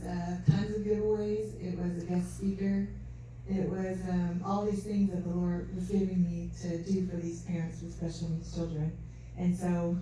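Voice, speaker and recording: A soft-spoken woman giving an earnest, faith-filled talk at an event, recorded from a distance in a large hall. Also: lowish voice. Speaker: event speaker. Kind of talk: testimony talk. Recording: distant mic, echoey hall, faint noise.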